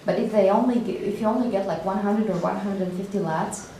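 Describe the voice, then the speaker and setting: A woman speaking as a panelist, explaining in a measured way during a discussion. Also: medium pitch, dark tone. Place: indoor panel discussion room. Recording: distant echoey room, faint noise.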